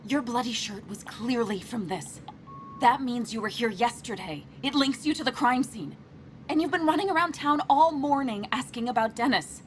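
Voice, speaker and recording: An actress playing an anxious, principled and outspoken friend in a video game, speaking in an earnest, emotional way. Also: female voice, fairly high pitch, animated, moderate pace, slight background noise, close to an everyday voice. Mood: reproachful, urgent. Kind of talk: naturalistic video game acting.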